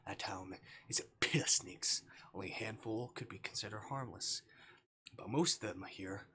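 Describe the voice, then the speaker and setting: A man voicing an older, earnest train passenger in a visual novel, awkwardly chatty and gravely cautionary. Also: lowish voice, normal speed, slight background noise. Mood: grave, hushed warning. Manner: hushed, earnest, gentle urgency.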